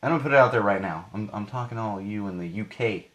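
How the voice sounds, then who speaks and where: A man hosting a podcast live, slightly hoarse from losing his voice, talking in a chatty, rambling way and correcting himself as he goes. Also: on the low side, rapid-fire. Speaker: podcast host. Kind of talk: live podcast monologue with plugs.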